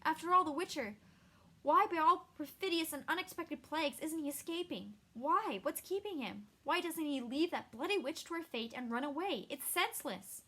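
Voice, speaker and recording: A woman voicing an excitable young male bard who panics and exclaims loudly. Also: unhurried, few pauses, full character voice. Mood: frantic. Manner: shrill, exclaiming.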